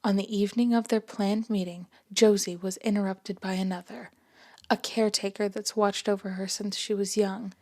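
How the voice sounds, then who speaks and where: A woman reading her own first-person story aloud in a gentle, soft-spoken way. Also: medium pitch, fairly even intonation, normal speed. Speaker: author and narrator.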